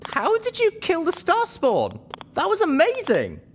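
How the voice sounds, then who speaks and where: Male reader as young female pony, very high pitch, excitable, breathy, audiobook style, clearly noisy recording. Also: a little slow, exaggerated voice. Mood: amazed. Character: excitable young female pony narrator-heroine, weak but eager.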